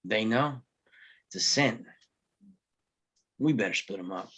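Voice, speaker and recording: An actor playing a guarded, gruff Old West lawman in a table read, speaking tersely and grudgingly with some hesitation. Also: lowish voice, lively intonation, takes it slowly, fairly bright tone, exaggerated voice. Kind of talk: live table read acting of a western screenplay.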